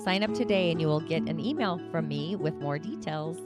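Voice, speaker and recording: Female host, deep voice, steady upbeat promo read, loud plucked guitar underneath. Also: a little fast. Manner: listing offers in an upbeat, even cadence. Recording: loud guitar music bed. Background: loud plucked guitar music.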